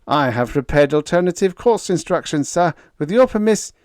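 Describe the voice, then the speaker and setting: A man voicing a mild, polite young lieutenant who speaks gently and calmly. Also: a little high, normal speed, no room sound, clean studio sound, pitched above the performer's own voice, close to an everyday voice.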